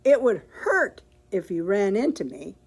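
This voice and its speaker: A woman's voice: mid-range voice, very animated, measured pace, gravelly, slightly rough, full character voice. A woman reading a picture book aloud and voicing a cheerful, literal-minded housekeeper in an earnest, emphatic way. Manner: bright, earnest, emphatic read-aloud delivery.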